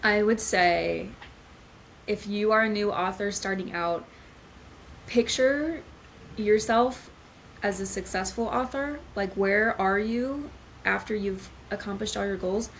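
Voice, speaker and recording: A woman's voice: mid-range voice, slow, gravelly, a little hiss or hum, rough recording. Chatty woman author on a podcast. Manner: chatty, laughs often, filler-heavy. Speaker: guest author. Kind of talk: casual podcast chat.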